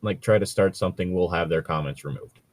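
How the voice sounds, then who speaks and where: A man with a low voice talks in a loose, conversational way, recorded close and dry. He rambles and hedges as he comments, swearing casually along the way. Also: fast talker, dry recording. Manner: rambling, hedging, casually profane. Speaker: co-host reacting to read-out stories. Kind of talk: casual chat and commentary on a read story.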